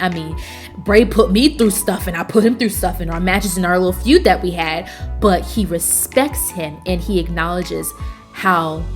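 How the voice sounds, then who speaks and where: Enthusiastic woman recapping wrestling, faint music. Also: on the low side. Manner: enthusiastic, emphatic, repeats words for emphasis; casual slangy phrasing. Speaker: wrestling review show host. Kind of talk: casual recap and commentary.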